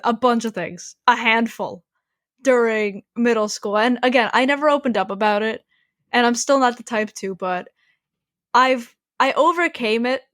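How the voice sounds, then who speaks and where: Earnest teenage girl, slightly high voice. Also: a bit bright, no echo, clean studio sound. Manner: earnest and reflective, filler-heavy. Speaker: podcast co-host. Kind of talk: casual chat among friends.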